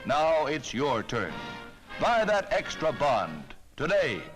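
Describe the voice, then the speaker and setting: A man's voice: fairly high pitch, wildly swooping pitch, slow pace, rough recording. A man with an American accent narrating a 1940s newsreel in a rousing, declamatory, patriotic way, on a vintage soundtrack. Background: music.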